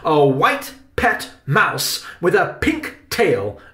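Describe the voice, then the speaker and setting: A man voicing a stern, dramatic uncle in a children's story, declaiming emphatically with drawn-out words. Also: fairly high pitch, huge pitch swings, bright, forceful tone, voice pushed up, heavily acted. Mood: emphatic. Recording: roomy, echoing sound.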